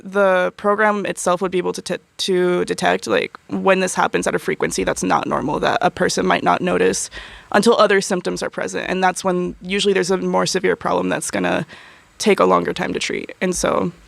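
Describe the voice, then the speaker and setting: A young woman talks in a loose, chatty way, leaning on fillers and quick laughs. Her voice carries a gravelly fry at the ends of phrases. The sound is dry and close, with no room around it. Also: on the low side, steady tone, dry recording. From United States. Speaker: university student guest. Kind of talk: casual interview chat.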